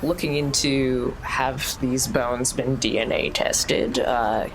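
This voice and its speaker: A woman's voice: rumbling low, steady tone, slow pace, noisy background. A woman playing a tabletop game, asking questions in a tentative way. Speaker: player.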